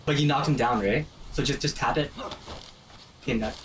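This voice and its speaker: A man's voice: medium pitch, normal speed, a crowd in the background. A young man giving casual, opinionated gameplay commentary to friends, often checking in as he explains. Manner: opinionated, often tags phrases with "right?". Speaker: game commentator guiding friends. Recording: distant mic, noisy room.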